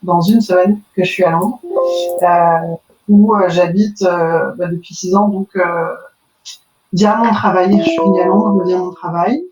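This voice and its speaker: A woman's voice: on the low side, steady tone, measured pace, a little mellow, reverberant, distant mic, lo-fi sound, soft background music. A woman in her thirties from southern France, a podcast guest chatting hesitantly with many fillers over a remote call. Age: 30s. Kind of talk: casual interview chat.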